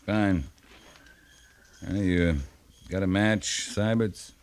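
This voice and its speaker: A man's voice: low voice, fairly even intonation, slow pace, smooth. A man playing a seasoned, commanding cavalry captain in a 1950s radio western, speaking in a clipped, dryly understated, authoritative way. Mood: calm, low-key. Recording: noisy vintage 1950s radio recording.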